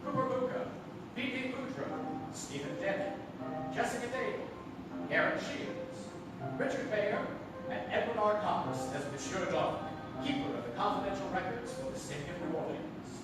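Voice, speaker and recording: Male stage announcer, high-pitched, formal and theatrical, heard from afar in a very echoey theatre. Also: expressive, moderate pace. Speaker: announcer and host of live radio theatre. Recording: echoey theatre, distant, music behind.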